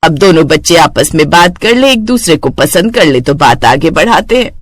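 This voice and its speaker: A woman's voice: sits low, expressive, moderate pace, no room sound, studio quality. Woman doing a bossy middle-aged cartoon mother-in-law. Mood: approving, directive.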